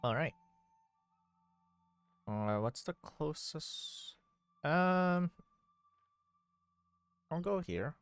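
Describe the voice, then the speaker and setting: A man's voice: fairly high pitch, lively intonation, drawn-out words, long pauses, clean tone, no echo, faint music behind. A man streaming a video game, giving casual commentary and thinking aloud as he plays.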